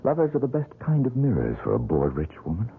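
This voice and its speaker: An actor playing a poor, bitter painter haunted by one recurring nightmare, talking in a brooding, self-mocking way in a radio drama. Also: male voice, medium pitch, huge pitch swings, quick pace, a little hiss or hum. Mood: recounting. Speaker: struggling painter. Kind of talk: 1970s radio drama acting. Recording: tinny old radio broadcast, faint music.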